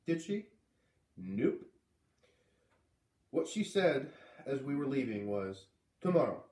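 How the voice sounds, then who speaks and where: A man's voice: medium pitch, very animated, darkish tone. Man reading aloud in an echoey room. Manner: dramatic, emphatic pauses on key words. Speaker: read-aloud reader. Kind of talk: expressive children's book narration. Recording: echoey room, distant microphone.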